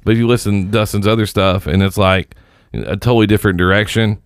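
Man, forties, quick and smooth-voiced, teasing podcast banter, dry. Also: on the low side, no echo. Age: 40s. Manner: joking, teasing. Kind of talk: casual podcast chat.

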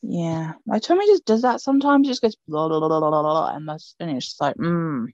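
A woman's voice: low-pitched, lively intonation, brisk, a little mellow, dry recording, lo-fi sound. A woman co-hosting a podcast in casual chat, rambling and chatty, laughing often. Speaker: podcast co-host.

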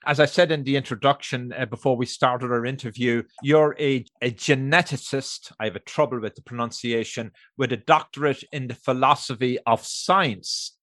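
A man's voice: mid-range voice, fairly even intonation, normal speed, few pauses, a bit bright, no room sound. Irish guy hosting a talk show interview, chatty, dry close sound. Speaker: host.

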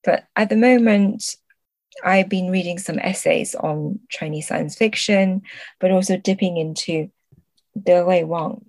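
A woman's voice: on the low side, a little slow, darkish tone, dry recording. A woman speaking as a literary translator in an interview, reflective and hesitant as she searches for words.

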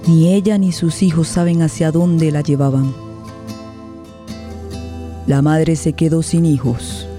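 Very dark-voiced woman narrating radio drama. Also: deep voice, flat delivery, soft background music. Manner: measured, deliberate phrasing. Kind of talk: sombre scripted radio narration.

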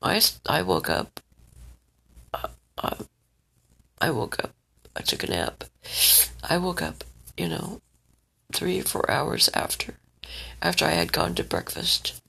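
Congested rambling vlogger with a scratchy, husky voice and lively pitch. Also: a little slow, pauses often. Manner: rambling, checks in with the listener again and again. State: stuffed up, congested. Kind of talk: rambling personal vlog.

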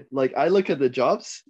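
A young man from Taiwan, a podcast guest chatting casually, laid-back, laughing often and trailing off quietly. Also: mid-range voice, slightly rough, poor audio. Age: young adult. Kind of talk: casual chat.

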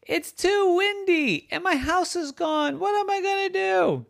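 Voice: measured pace, vocal fry, dry recording, clean studio sound, heavily acted. A storyteller voicing flustered townspeople in a children's story, exclaiming and whining. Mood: bewildered, whining. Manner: high-pitched, exclaiming, whiny. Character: flustered townspeople complaining about the wind.

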